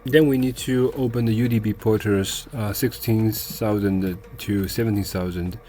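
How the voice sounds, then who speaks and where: A man with a fairly low voice walks through a technical procedure step by step. He speaks off the cuff and haltingly, often pausing to find the next word. Faint music plays behind him. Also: steady tone, mellow. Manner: hesitant, halting delivery with searching pauses. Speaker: software tutorial presenter. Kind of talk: step-by-step screen tutorial, unscripted.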